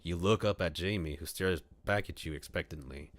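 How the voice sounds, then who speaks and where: A man on a livestream reading a visual novel aloud, mixing scripted narration with casual asides where he thinks out loud. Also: sits low, normal speed. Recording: music playing behind the voice. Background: clear background music.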